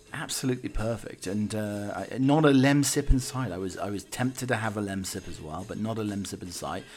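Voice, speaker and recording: A man's voice: mid-range voice, a bit bright. A man hosting a podcast in a casual, wry monologue, self-deprecating and mock-dramatic, often stumbling and repeating his words. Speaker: podcast host.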